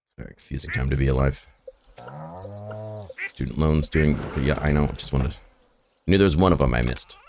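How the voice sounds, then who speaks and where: A hoarse man with a raspy, rough voice, rambling and thinking aloud as he plays through a farming game in casual commentary. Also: extremely low pitch, fairly quick, long pauses, background noise. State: hoarse from shouting the night before. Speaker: gaming video host. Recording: game music behind the voice. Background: game music.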